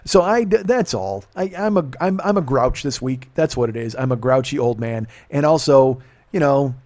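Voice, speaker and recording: A man's voice: mid-range voice, lively intonation, moderate pace, a little mellow. A man in his late forties hosting a casual podcast chat, grumbling and swearing as he mocks himself. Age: 40s. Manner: profane, grumbling, self-mocking. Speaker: podcast host.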